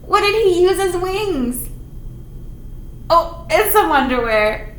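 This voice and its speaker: A high-voiced woman reads to small children at an unhurried pace. She is playful and exaggerated, chatting to her young audience between lines of the story. The recording is roomy and echoey, with some background noise. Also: animated. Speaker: children's librarian leading storytime. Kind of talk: animated storytime read-aloud with asides to young children.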